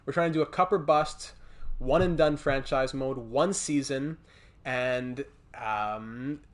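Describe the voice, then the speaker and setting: Male game streamer, mid-range voice, Montreal accent, casual and halting, thinks out loud between replies to chat. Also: mid-range voice. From Montreal, Canada. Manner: thinking aloud, halting, breaking off to reply to chat. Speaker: livestreamer hosting a hockey video game stream.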